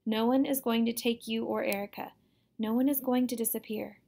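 A woman's voice: on the high side, steady tone, brisk, creaky, warm tone. A woman voicing a worried, exasperated mother who is firm and questioning in an audiobook story.

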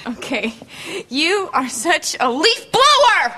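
A young American woman acting a quirky, animated sitcom character in studio outtakes, with a loud audience and heavy noise. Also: sits high, slow, full character voice. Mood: flustered. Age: young adult. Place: indoor studio sitcom set.

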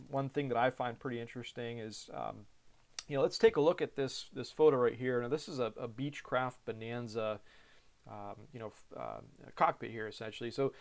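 American guy in his 30s explaining cockpit stuff, loose and chatty, lots of "you know" and restarts. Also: mid-range voice. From United States. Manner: casual, many fillers and repeated words. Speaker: pilot instructor. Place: small room indoors.

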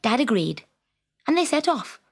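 A woman narrating a scripted children's story in an expressive, dramatic storytelling manner. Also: on the high side, normal speed. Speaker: storyteller, audiobook reader.

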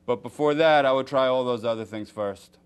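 Smooth-voiced man giving calm medical advice. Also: medium pitch, brisk. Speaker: guest doctor, surgeon. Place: TV studio set.

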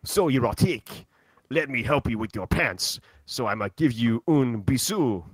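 A man's voice: mid-range voice, expressive, measured pace, breathy edge. A man voicing a flustered, amorous French servant with an exaggerated put-on French accent in a comic reading. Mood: breathy, amorous.

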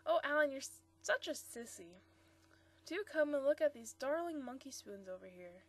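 A woman's voice: high-pitched, expressive, moderate pace. A woman reading the part of a playful, eager young woman who gushes and teases. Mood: gushing. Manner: gushing, bubbly.

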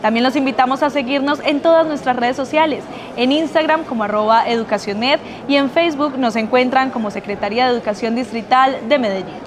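A woman's voice: sits high, brisk, hardly any pauses. A young Colombian woman presenting scripted news to camera in a busy exhibition hall. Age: young adult. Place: large indoor exhibition hall. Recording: busy expo hall crowd behind.

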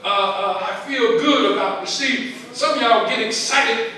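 Older preacher with a high voice in an echoey church. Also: male voice, sharp tone. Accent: Southern US. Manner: preaching with call-and-response, drawn-out emphasis. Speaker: pastor. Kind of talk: sermon. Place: large church sanctuary with congregation. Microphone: handheld mic. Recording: very echoey church hall, heard from a distance. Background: congregation calling back.

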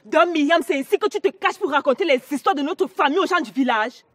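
A woman's voice: sits high, animated, quick pace, a bit bright, no echo. A woman with a Cameroonian accent playing an indignant, accusing young sister in a family drama.

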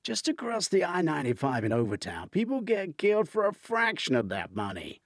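Guy doing a loud pushy storybook tough pal, pitched way up, needling and teasing. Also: wildly swooping pitch, a little fast, no room sound, studio quality, exaggerated voice. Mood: insistent. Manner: needling, punchy, exclamatory. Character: brash, mocking man, pushy teasing veteran buddy.

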